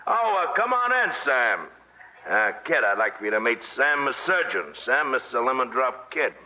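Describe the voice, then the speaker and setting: An actor playing a sardonic gangster boss in a 1950s radio comedy, making unhurried threats in a mock-friendly manner. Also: male voice, medium pitch, very animated, lightly acted. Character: menacing, coolly sardonic male gangster boss. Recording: muffled old radio broadcast.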